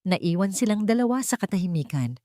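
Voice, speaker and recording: A woman narrating a scripted romance story in a measured, dramatic storytelling manner. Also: medium pitch, sing-song, mellow, no echo, studio quality.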